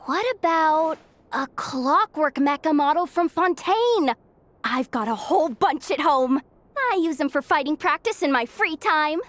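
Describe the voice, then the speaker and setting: A woman playing an excitable, playful young girl who blurts things out in a video game. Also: sits high, measured pace. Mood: eager. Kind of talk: video game character acting.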